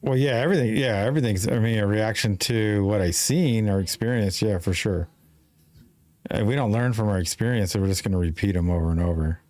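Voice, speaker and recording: Low-voiced man in his fifties. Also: a little mellow, no echo. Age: 50s. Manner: rambling, halting, with many restarts and fillers. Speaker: show host. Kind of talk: casual live-stream conversation.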